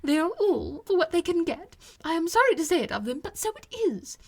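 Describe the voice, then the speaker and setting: A woman's voice: very high voice, sing-song, fairly quick, voice pushed up, exaggerated voice. A woman voicing a fretful, self-pitying middle-aged married woman in an audiobook, complaining in a plaintive, dramatic way. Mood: aggrieved, plaintive. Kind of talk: audiobook character voice.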